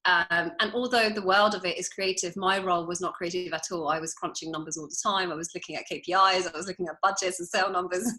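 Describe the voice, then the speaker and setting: Bright-voiced chatty woman. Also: on the low side, steady tone, low-quality recording. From France. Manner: chatty, laughs often, quick asides. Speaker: interior designer, guest. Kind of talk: casual interview storytelling.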